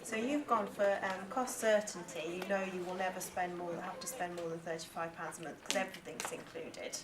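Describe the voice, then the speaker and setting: A woman's voice: fairly low pitch, steady tone, a little fast, a loud crowd. A woman facilitator giving instructions to a group. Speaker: session facilitator. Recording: very noisy echoey room, distant.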